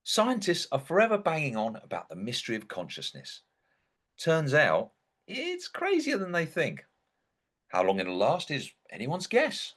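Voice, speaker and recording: A man's voice: fairly high pitch, huge pitch swings, unhurried, a bit bright, full character voice. An actor playing an indignant, sardonic dead young man who narrates a comic stage monologue in a wry, theatrical way. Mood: wry musing.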